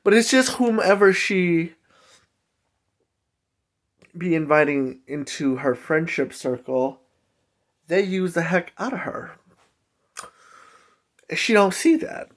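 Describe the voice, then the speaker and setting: Chatty higher-voiced man telling a personal story. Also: sing-song, takes it slowly, pauses often. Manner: chatty, conversational, emphatic asides. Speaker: storytelling vlogger. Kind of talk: casual personal story time.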